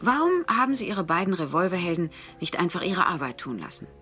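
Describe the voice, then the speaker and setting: An actress playing a shrewd, teasing confidante of a private detective in a German radio crime drama, speaking in a frank, probing way. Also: female voice, fairly low pitch, big pitch swings, fairly quick, bright, forceful tone, soft background music. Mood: puzzled, probing.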